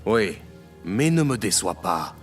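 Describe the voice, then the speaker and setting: A man's voice: fairly low pitch, very animated, takes it slowly. Man acting cold, imposing crime boss, music behind. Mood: cold, commanding. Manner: curt, authoritative. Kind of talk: audio drama acting. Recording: music under the voice.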